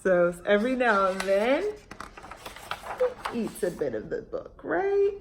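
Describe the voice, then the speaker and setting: A woman reading aloud to young children as a storytime host, expressive, with dramatic pauses and questions to her listeners. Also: mid-range voice, reverberant, noisy background.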